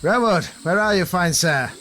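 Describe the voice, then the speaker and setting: An actor playing a polite, quaintly formal member of a hunting party in an audio drama, with understated phrasing. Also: male voice, high-pitched, animated, moderate pace, sharp tone, a little hiss or hum. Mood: politely anxious.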